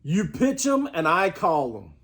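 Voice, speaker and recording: A man's voice: a little high, huge pitch swings, a little slow, a bit bright, pitched above the performer's own voice, heavily acted. A man voicing an old, decisive umpire mouse who calls out emphatically in a children's storybook. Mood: firm. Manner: emphatic, calling out. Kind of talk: children's storybook read-aloud.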